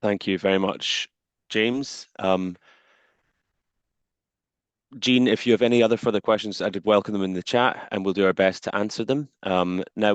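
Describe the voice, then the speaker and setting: A man's voice: fairly low pitch, dry recording, lo-fi sound. A man hosting a video-call webinar, politely moderating questions with frequent hesitations. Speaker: webinar host.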